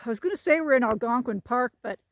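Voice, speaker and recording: A woman's voice: a little high, animated, fast, dry recording. A woman in her sixties filming herself on a hilltop trail hike, chatting and joking with a laugh while she walks outdoors. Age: 60s. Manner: chatty, jokey, laughs often. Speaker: vlogger hiking with a group. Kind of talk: casual talk to camera. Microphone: phone held while walking.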